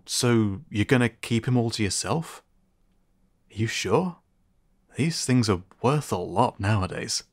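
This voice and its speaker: Sci-fi repairman, male actor: easygoing joker, slightly low voice, conversational roleplay delivery. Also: creaky. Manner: casual, joking, chuckling. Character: teasing, friendly male sci-fi tinkerer and repairman.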